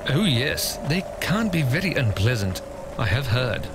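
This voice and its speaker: An actor playing a naive, boastful android with a pompous, snobbish manner in a video game. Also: male voice, mid-range voice, big pitch swings, measured pace, music in the background. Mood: airy, self-assured. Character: naive, boastful android head dreaming of wealth.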